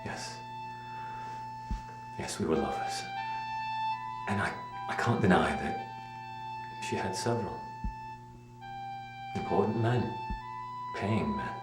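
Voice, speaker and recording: Grieving gentleman, low voice, widely swinging intonation, halting and emotional, audio drama. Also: expressive, reverberant, over loud music, rough recording. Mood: grieving, confessional. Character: Parker Raleigh, grieving secret lover, shaken career man.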